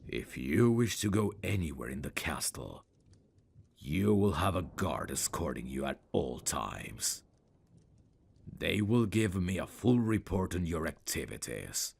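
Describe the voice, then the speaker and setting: A man playing a stern, haughty eldest prince in a fantasy roleplay audio, speaking formally and condescendingly in a gravelly, breathy voice. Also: low-pitched, very animated, full character voice. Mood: stern, commanding. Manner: formal, measured, condescending, commanding.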